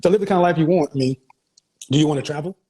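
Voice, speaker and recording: A man's voice: on the high side, rapid-fire, dark tone, husky. A man hosting a call-in advice show, questioning a caller bluntly and cutting in often. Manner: blunt, interrupting, interrogating. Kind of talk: call-in interview.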